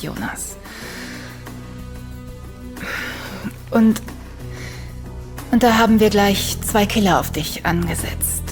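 An actress playing a cold, haughty conspirator in a radio drama, with a condescending, cool manner. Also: female voice, lightly acted. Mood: cool, halting. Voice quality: husky, low. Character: cold, smug female conspirator and killer, haughtily superior.

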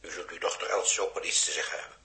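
An actor playing a reserved, authoritative man in a 1960s radio play, speaking in a measured way. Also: deep voice, fairly even intonation, bright, forceful tone. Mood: calm, decisive. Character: reserved, commanding male mastermind who stays behind the scenes. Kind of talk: 1960s Dutch science fiction radio play acting.

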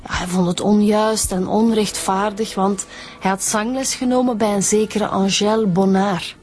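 A woman's voice: medium pitch, steady tone, normal speed, darkish tone, natural voice. A woman playing an eager, matter-of-fact homicide detective reporting findings in a radio drama, with a Flemish accent. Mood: matter-of-fact, keen. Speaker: police detective.

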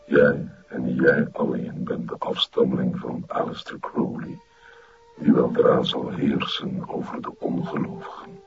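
Very deep, growling satanic cult master. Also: male voice, level tone, unhurried, vocal fry, heavily acted. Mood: solemn, grandiose. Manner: solemn, commanding. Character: sinister male satanic cult master, commanding and flattering. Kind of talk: broadcast crime drama acting. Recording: muffled, loud ominous music behind.

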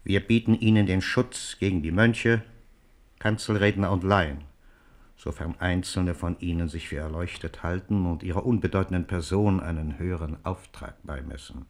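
A calculating jesuit envoy, deep voice, measured and insinuating, radio drama style. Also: male voice, fairly even intonation. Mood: persuasive. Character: suave, calculating Jesuit envoy, coolly cynical. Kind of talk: German radio play acting.

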